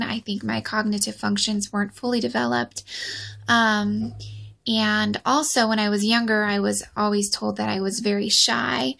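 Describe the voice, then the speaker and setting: A woman's voice: mid-range voice, creaky, fairly bright tone. A young woman vlogging into her phone, with a light voice and a hesitant manner full of ums and restarts. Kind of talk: casual personal vlog. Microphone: phone camera.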